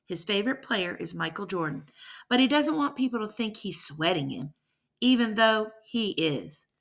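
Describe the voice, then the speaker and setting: A woman's voice: mid-range voice, expressive, normal speed, fairly bright tone. A woman teacher reading a verse story aloud with rhythmic, emphatic delivery and brief explanations.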